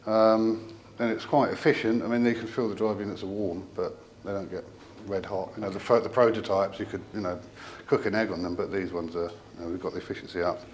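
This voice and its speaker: Low-voiced man explaining gear, noisy room. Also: fairly even intonation, brisk, few pauses. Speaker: audio company representative presenting a product. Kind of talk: informal technical product explanation.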